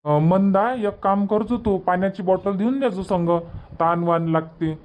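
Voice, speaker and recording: A man's voice: high voice, moderate pace, a little mellow, natural voice. A man voicing an impatient, bossy village family man in a cartoon comedy, rambling in colloquial Vidarbha Marathi.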